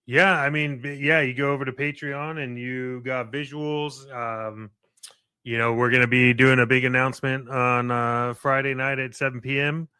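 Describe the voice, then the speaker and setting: A man's voice: mid-range voice, steady tone, moderate pace, bright, forceful tone, clean tone, no room sound. A man co-hosting a podcast in casual promotional chat, informal and loose, feeling his way through his phrasing. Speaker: podcast co-host.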